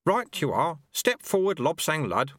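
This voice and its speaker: A man voicing an ancient male monk sweeper who is fawning and sly, in a higher voice than his own. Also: big pitch swings, breathy edge, no echo, studio quality, heavily acted. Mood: eagerly servile. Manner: obsequious, fawning, brisk. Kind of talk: audiobook character voice.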